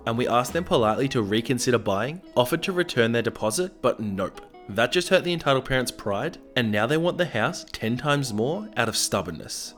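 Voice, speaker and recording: A man who is sick and slightly raspy, narrating reddit stories with casual, sarcastic asides. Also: mid-range voice, fairly bright tone. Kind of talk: reading reddit stories aloud. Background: faint background music.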